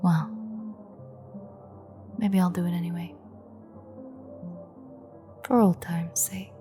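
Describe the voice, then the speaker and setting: A sardonic female vampire, acted by a woman for an intimate audio drama, speaks low and very slowly with a creaky edge. She slips in and out of whispers, threatening and teasing an old enemy she is secretly fond of. Music plays behind her. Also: fairly even intonation. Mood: resigned, menacing. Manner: wry, teasing, half-whispered, unhurried. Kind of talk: intimate audio roleplay acting.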